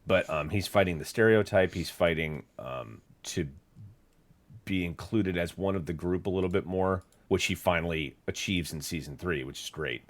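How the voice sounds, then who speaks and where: A man's voice: deep voice, normal speed. A man co-hosting a podcast in casual chat, rambling with many fillers and restarts. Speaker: podcast co-host.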